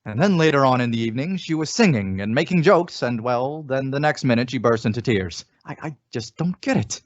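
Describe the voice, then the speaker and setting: An actor playing a well-meaning, befuddled husband and office worker in an old radio comedy. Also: male voice, medium pitch, expressive, brisk, natural voice. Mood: worried, puzzled. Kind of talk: 1950s radio sitcom acting. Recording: dull vintage radio recording.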